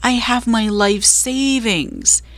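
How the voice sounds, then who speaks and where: A woman playing a whiny, high-pitched, theatrical little mouse. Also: big pitch swings, a little slow, gravelly, no echo. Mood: moaning, pathetic. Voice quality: high, pitiful, choked with emotion. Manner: melodramatic, flowery, old-fashioned phrasing. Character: whiny, theatrical, miserly male mouse, self-pitying.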